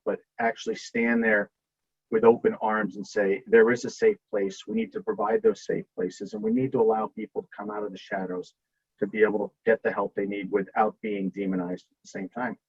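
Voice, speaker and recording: A man with a low, husky voice gives unscripted panel remarks as a state representative. He speaks haltingly, circling back to rephrase, on dry, dull lo-fi call audio. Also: no room sound.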